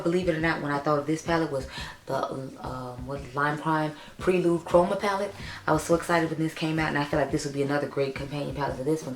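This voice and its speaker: A young woman with a raspy voice, chatting casually and upbeat as she reviews makeup for her vlog. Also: low voice, a little hiss or hum. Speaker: beauty YouTuber. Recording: echoey room.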